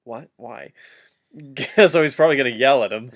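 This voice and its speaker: A man's voice: mid-range voice, moderate pace. A man doing a comic dramatic reading of fan fiction, giggly and often breaking off to heckle the text with asides.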